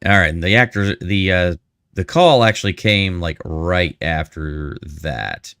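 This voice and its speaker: A man's voice: low-pitched, animated, unhurried, fairly bright tone, no echo, studio quality. A man hosting a live stream with casual, joking commentary and asides to his chat.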